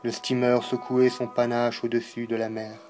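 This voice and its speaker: A man giving a solemn, dramatic poetry recitation, declaiming in measured phrases with pauses. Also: medium pitch, monotone, darkish tone, faint music behind.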